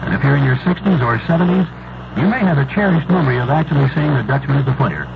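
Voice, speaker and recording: A man reading a scripted, nostalgic radio commercial in a measured storytelling manner. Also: mid-range voice, expressive, vocal fry, over a noisy crowd. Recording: noisy, muffled 1970s AM radio broadcast.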